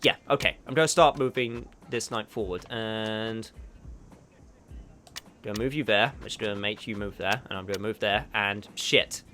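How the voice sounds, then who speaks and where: A man's voice: lowish voice, normal speed, pushed and bright, soft background music. A man casually thinking aloud while playing a video game, muttering his moves, swearing now and then, and chatting with viewers. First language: not Japanese. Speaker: gaming streamer. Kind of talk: casual gameplay commentary.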